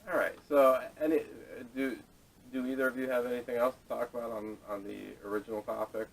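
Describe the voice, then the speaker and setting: A man hosting a casual podcast discussion, speaking haltingly with repeated words, picked up by a distant camera microphone. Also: medium pitch, little pitch movement. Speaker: podcast host. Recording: distant, salvaged camera audio.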